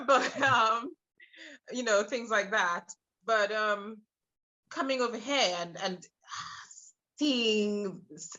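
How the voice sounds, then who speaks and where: A woman in her thirties from Trinidad, a podcast guest telling her personal story with many hesitant pauses. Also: lowish voice, pushed and bright. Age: 30s. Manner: hesitant, many filler pauses, laughs between thoughts. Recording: muffled lo-fi audio.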